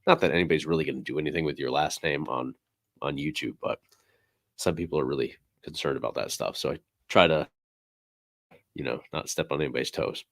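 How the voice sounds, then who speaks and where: Man, very deep voice, slightly hoarse, raspy, rambling casual livestream talk, dry close sound. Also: fairly quick, no room sound. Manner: relaxed, rambling, many fillers. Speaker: livestream host.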